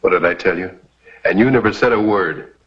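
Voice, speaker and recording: A man's voice: low voice, brisk, husky. An American actor playing a resolute, friendly lawman in an old TV western. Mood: cheerful. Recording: dull vintage TV western soundtrack.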